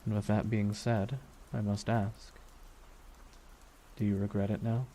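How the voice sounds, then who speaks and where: A dark, wistful villain voiced by a man speaks in a soft, mellow, lowish tone, as if close by in an intimate ASMR roleplay. He is deliberate and brooding, leaving long pauses, with quiet menace under the words. Rain patters behind him. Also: flat delivery. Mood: reflective, somber. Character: villain reflecting beside his dying superhero nemesis, wistful, menacing. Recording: noticeable rain noise throughout. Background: rain falling on a surface.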